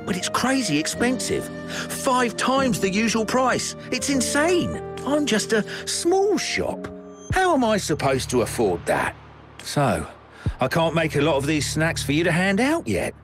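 An actor playing a kindly, chatty snack shop chef in a video game, with a folksy, expressive way of talking. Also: male voice, high-pitched, measured pace, full character voice. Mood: exasperated. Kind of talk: animated game dialogue. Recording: game music behind the voice.

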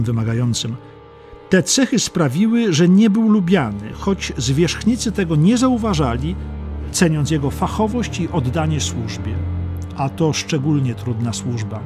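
A man reading a scripted historical audiobook as narrator, in a measured, dramatic storytelling manner. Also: medium pitch, darkish tone. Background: music under the narration.

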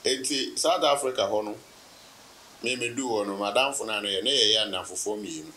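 Ghanaian man, thirties, bright tone, relaxed storyteller, indoor sofa interview, faint background hiss. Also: fairly low pitch, fast. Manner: relaxed, anecdotal, laughs while telling. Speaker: guest, businessman. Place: indoor room, seated on sofa.

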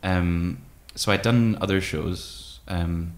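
Male interview guest, thirties, very low voice with vocal fry, tentative and reflective. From Scottish Highlands. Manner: hesitant, thoughtful, halting. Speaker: guest, poet and musician. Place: room with tall windows, indoors. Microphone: microphone on desk stand.

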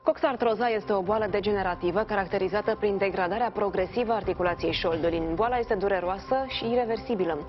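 A woman's voice: medium pitch, hardly any pauses, lo-fi sound, soft background music. Young woman presenting morning news. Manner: clear, scripted questions. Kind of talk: live TV interview. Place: TV studio.

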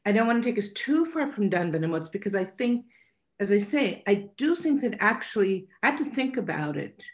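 Measured woman on a video call. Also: mid-range voice, very animated. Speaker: interviewer, author. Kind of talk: academic video-call conversation.

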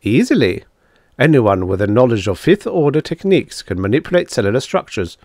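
A man's voice: mid-range voice, sing-song, a little fast, a little mellow, no echo, studio quality, pitched above the performer's own voice. A man voicing a proud, suave devil in an audiobook, lecturing in a matter-of-fact, condescending way as he bargains. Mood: lofty, confident. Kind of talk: audiobook character dialogue.

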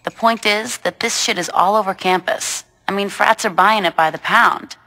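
A woman's voice: medium pitch, normal speed, bright, forceful tone, rough recording. An actress playing a laid-back young gangster stoner woman in a video game, casual, slangy and wry. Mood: breezy.

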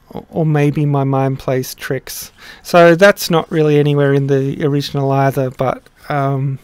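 A middle-aged man explaining his song translation in a casual, halting way, with many pauses and restarts. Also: on the high side, darkish tone, smooth, no room sound. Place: small room indoors.

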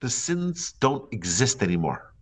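A man in his forties, a rabbi giving a lively class with stories and acted-out quotes, speaking close into a studio mic in a small room. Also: medium pitch, a little slow. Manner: lively storytelling with hand gestures and acted quotes. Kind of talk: animated Torah lecture. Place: indoor home studio before a plain wall. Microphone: large studio mic on boom arm, close.

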